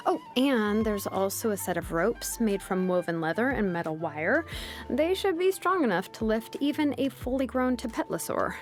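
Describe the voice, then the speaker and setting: A woman voicing a laid-back, sleepy young female blacksmith and name engraver from a video game, languid and nonchalant with soft hesitations. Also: on the high side, very animated, normal speed. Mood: friendly, helpful. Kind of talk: video game character voice lines. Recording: music behind the voice. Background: music.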